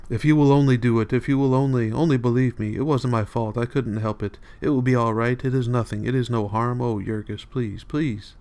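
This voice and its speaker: A man's voice: mid-range voice, fairly even intonation, moderate pace, hardly any pauses, close to an everyday voice. A man voicing an anguished, desperate wife in an audiobook, pleading in broken, frantic phrases.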